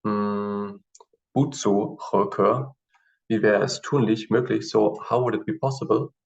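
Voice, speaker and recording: A man whose first language is German, teaching in a screen-share tutorial with halting explanations and many fillers. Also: fairly low pitch, steady tone, creaky. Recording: distant, dull lo-fi mic.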